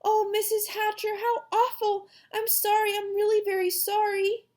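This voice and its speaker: A dramatic young schoolgirl in a children's audiobook. Her voice is shrill and very lively, with a whiny, defensive edge. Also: unhurried, exaggerated voice. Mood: distraught.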